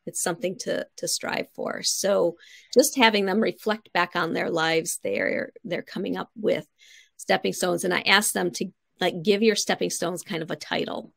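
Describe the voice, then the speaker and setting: A woman's voice: lowish voice, fairly even intonation, moderate pace, gravelly, fairly bright tone, no room sound, studio quality. A middle-aged woman explaining things conversationally in an interview over a video call, in an encouraging, instructive way. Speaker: guest writing coach. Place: home room, indoors, on a video call.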